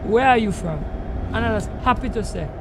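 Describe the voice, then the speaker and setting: A man's voice: high voice, expressive, fairly quick, gravelly, background noise. A man with a Tobagonian accent, hosting a gaming live stream and talking in rambling, ranting Creole speech. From Tobago, Trinidad and Tobago. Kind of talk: casual live-stream chat while gaming.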